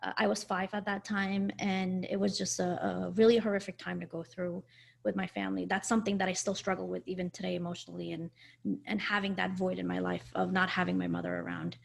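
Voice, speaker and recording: A woman's voice: lowish voice, flat delivery, fairly quick, creaky. A woman in her forties, born in Afghanistan with Farsi as her first language, telling her family's refugee story in a candid, conversational way with many fillers and restarts. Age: 40s. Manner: candid, conversational, with frequent fillers and self-corrections. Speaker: guest sharing her life story. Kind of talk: personal storytelling interview.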